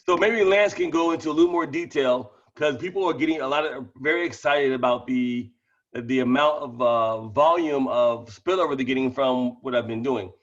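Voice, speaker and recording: A man with a small lisp and a stutter, talking excitedly and fast as he pitches a business opportunity to people on a group call. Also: lo-fi sound. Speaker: presenter of a network-marketing training call.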